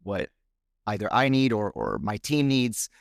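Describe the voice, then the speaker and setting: A man's voice: on the low side, sing-song, unhurried, vocal fry, no echo, clean studio sound. A man from Romania, whose first language is Romanian, speaking as a podcast guest in a frank, reflective, casual interview chat. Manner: frank, reflective, thinks aloud.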